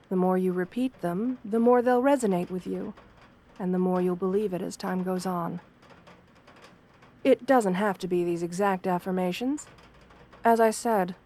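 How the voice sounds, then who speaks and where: Soft-spoken, gentle wolf-girl therapist played by a woman. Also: medium pitch, lightly acted. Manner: soft-spoken, soothing, measured, reassuring. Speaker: therapist character speaking to a patient. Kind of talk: ASMR roleplay, guided affirmations.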